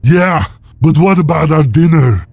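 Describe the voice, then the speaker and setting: A grumbling miner sidekick from a fantasy game. His voice is fairly high and whiny, impatient and hungry, recorded dry over faint music. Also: expressive, unhurried, vocal fry, darkish tone, no room sound, heavily acted. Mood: whining, hungry. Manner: whining, complaining. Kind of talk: dramatic fantasy game dialogue.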